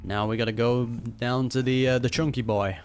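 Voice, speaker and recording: A man's voice: mid-range voice, steady tone, moderate pace, faint music behind. A man doing casual, chatty reaction commentary while playing a video game. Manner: chatty, chuckling. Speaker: gaming streamer.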